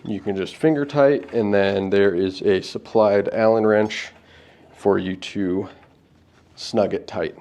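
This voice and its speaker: Man, slightly low-pitched voice, informal tutorial delivery, plain-spoken, product demo host. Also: slow pace, dark tone. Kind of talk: casual step-by-step tutorial.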